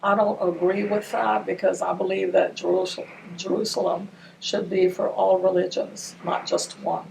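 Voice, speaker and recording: A woman's voice: medium pitch, level tone, normal speed, gravelly, darkish tone. An older woman from Ramallah whose first language is Arabic, recalling her childhood in an oral history interview. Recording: distant mic with faint noise.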